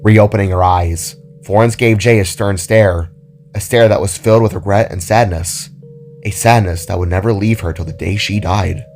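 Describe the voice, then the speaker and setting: A man's voice: lowish voice, fairly even intonation, normal speed, darkish tone. Male story narrator over music. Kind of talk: dramatic scripted story narration.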